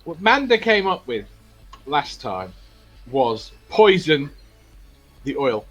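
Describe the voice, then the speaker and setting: A man with a high voice asks a group playful, provocative questions. His pitch jumps around a great deal. He pushes the others with cheeky follow-ups. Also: very animated, slow, fairly bright tone, faint music behind, low-quality recording. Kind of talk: casual group chat on a stream.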